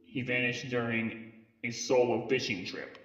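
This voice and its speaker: A muffled, lo-fi recording with a roomy echo carries a man's voice at an ordinary pitch. He narrates a police case file as if for an old evidence tape, reading haltingly and sometimes tripping over a word. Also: fairly bright tone. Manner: halting read-aloud delivery with stumbles over words. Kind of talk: scripted narration, mock police briefing tape in an analog horror story.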